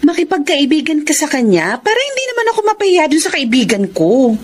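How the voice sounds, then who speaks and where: A pushy, chummy Filipino boutique boss in a radio drama. Her high voice swings animatedly as she coaxes, with faint noise behind. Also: a little fast. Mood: coaxing. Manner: persuasive, animated, worldly.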